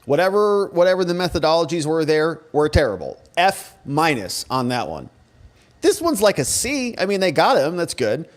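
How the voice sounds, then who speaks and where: A man's voice: a little high, sing-song, moderate pace. A man giving casual, sarcastic commentary as a show host, speaking in a colloquial way. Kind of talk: casual commentary reacting to press conference clips.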